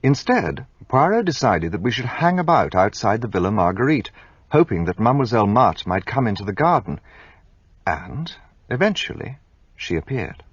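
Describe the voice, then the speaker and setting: An actor narrating as the detective's companion in a radio play, measured storytelling. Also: male voice, on the low side, sing-song, husky, no room sound. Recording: dull lo-fi sound.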